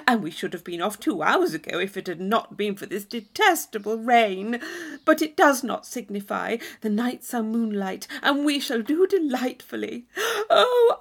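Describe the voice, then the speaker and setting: Woman playing a gushing, animated young society lady. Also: on the high side. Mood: breathless ecstasy. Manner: effusive, drawn-out emphasis on endearments and superlatives.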